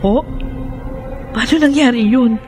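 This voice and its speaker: A woman's voice: on the high side, a little slow, over music, lightly acted. A woman playing a wronged young heiress, emotional and dramatic, in a Filipino radio drama. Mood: anxious, surprised.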